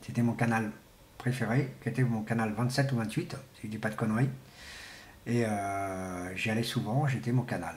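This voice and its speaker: Halting, informal man in an echoey room. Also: on the low side, fairly even intonation, a little hiss or hum. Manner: halting, colloquial, repeats himself for emphasis. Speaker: amateur CB radio enthusiast presenting. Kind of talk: informal unscripted explainer to camera. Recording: echoey, roomy sound.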